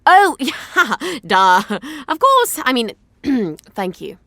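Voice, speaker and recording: An actress playing a sharp, rattled young student and fiancée in an audio drama, snappy and evasive. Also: female voice, a little high, huge pitch swings, slow, no echo, heavily acted. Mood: evasive, breezy. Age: young adult.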